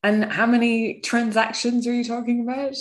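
A woman's voice: a little high, flat delivery, moderate pace. A woman hosting a podcast, putting questions to her guest in a conversational interview style.

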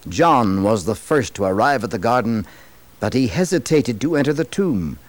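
An American man narrating a dramatized religious story in a solemn, theatrical, measured old-radio style. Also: medium pitch, very animated, dry recording. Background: music.

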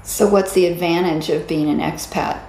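Lowish-voiced woman interviewer, echoey room. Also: steady tone, moderate pace, dark tone, slight background noise. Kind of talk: conversational interview questions.

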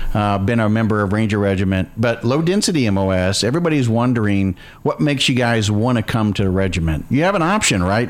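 A man hosting an interview podcast, asking questions in a chatty, rambling way with fillers, in a conference room. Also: fairly low pitch. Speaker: podcast host. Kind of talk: casual interview chat. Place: conference room at regimental headquarters.

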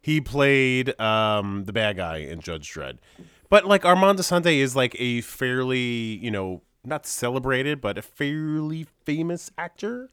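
A man's voice: medium pitch, animated, unhurried, a bit bright, clean tone, no echo, clean studio sound. A man hosting a casual movie podcast, laid-back, chuckling often and letting his sentences trail off. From New York State. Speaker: podcast host. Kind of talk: casual chat.

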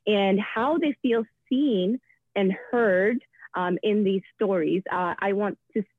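A woman who writes children's books, giving a thoughtful interview answer with frequent pauses and fillers, heard over a phone line. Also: mid-range voice, no echo. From Africa. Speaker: children's book author and guest. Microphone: phone or call-in line.

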